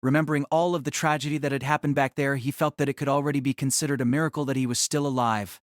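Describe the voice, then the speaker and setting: A man's voice: medium pitch, fairly even intonation, fast talker, no echo, studio quality. A man narrating a scripted audio drama as a storyteller.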